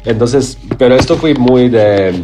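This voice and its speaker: A man from Croatia, a playwright, speaking haltingly in an as an interview guest, often searching for words. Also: medium pitch, music in the background. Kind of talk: interview conversation.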